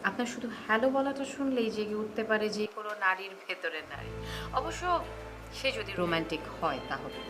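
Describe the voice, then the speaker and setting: An actress as a teasing, romantic late-night caller. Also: female voice, mid-range voice, lively intonation, fairly quick, music in the background, natural voice. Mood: playful, flirting. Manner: teasing, talkative, musing. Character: flirtatious, bold, playful romantic woman calling late at night. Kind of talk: Bangla TV drama dialogue.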